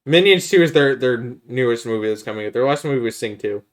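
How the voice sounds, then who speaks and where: A man's voice: medium pitch, animated, fast. A man co-hosting a podcast, talking casually and giving blunt, critical opinions. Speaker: podcast co-host. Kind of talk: casual opinionated chat about films.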